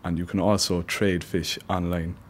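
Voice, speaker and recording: Man, team leader; low voice, halting, faint background hum. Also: flat delivery. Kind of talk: casual interview answers.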